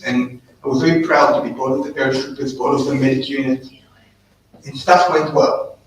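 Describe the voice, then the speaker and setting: A man's voice: mid-range voice, a little hiss or hum. South African man telling his story. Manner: earnest storytelling, animated when quoting others. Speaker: guest speaker. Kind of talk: personal talk to a live audience. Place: event room. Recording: echoey room, distant mic.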